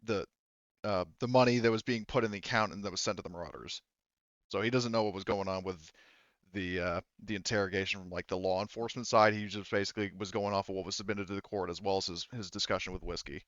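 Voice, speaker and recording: Halting low-voiced man in a roomy space. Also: steady tone, fairly bright tone, dull audio. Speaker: game master. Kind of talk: casual tabletop roleplay game narration.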